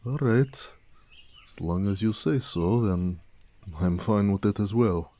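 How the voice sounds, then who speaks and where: A man playing a big, muscular orc blacksmith in an intimate audio drama, proud, gentle and affectionate, with courteous, slightly formal speech. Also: on the low side. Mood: sheepish, considerate. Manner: unhurried, soft-spoken, courteous, slightly formal. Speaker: voice actor.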